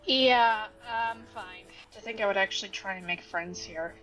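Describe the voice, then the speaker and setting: A shy, reserved monster girl played by a woman in a tabletop adventure. The voice has a middling pitch, with soft music behind it. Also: moderate pace, lightly acted. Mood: shy, awkward. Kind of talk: casual tabletop role-play.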